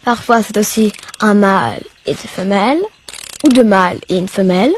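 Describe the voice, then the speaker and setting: A woman reading scripted educational narration in a measured, didactic way. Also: mid-range voice, slight background noise. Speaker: documentary narrator. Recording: music clearly behind the voice. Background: music.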